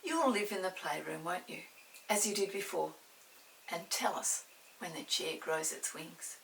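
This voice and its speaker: A woman's voice: lowish voice, lively intonation, a little slow, gravelly, fairly bright tone, exaggerated voice. A woman voicing an eager, earnest young child in a storybook, exclaiming in a raised voice. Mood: cheerful. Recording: distant mic with hiss.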